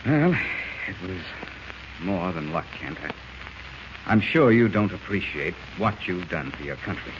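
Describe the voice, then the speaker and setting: A grave, earnest gentleman official in an old radio play. His voice is on the low side, formally grateful, under noticeable hiss. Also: lively intonation, measured pace, pauses often. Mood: grateful, amazed. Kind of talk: 1940s radio drama acting. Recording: muffled, hissy 1940s radio recording.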